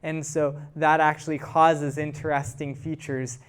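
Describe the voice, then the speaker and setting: A man's voice: on the high side, little pitch movement, measured pace, smooth. A man, a guest researcher, answering audience questions in an informal, explanatory way and thinking aloud. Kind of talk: informal scientific Q&A after a talk.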